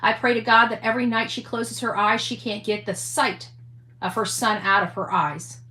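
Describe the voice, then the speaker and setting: An echoey recording of a woman with a fairly high, bright voice. She rants casually, repeating herself and restarting phrases with emphasis. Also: fairly even intonation, moderate pace. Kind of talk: casual spoken rant. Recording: echoey room sound.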